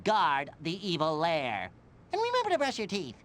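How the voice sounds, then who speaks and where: A woman's voice: fairly low pitch, huge pitch swings, moderate pace, a little hiss or hum. A woman voicing a childish, self-declared evil hermit in a cartoon game, gleeful and theatrical, with boastful asides. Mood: gleeful scheming. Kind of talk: video game cartoon voice acting. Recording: music behind.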